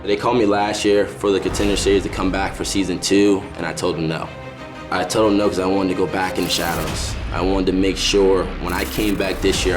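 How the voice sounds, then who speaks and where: A man from South Carolina, a fighter speaking in a promo interview, confident and driven, repeating his points. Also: low-pitched. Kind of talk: interview soundbites in a promo package. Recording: music bed under the voice.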